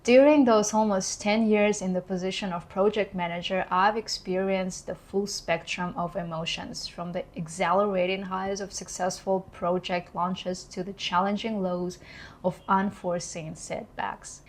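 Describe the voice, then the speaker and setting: A woman's voice: medium pitch, fairly even intonation, normal speed. A woman in her thirties from Kazakhstan with a Kazakh accent, speaking English as a second language in an earnest, reflective personal vlog. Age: 30s. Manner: earnest, reflective, occasionally laughing. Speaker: vlogger.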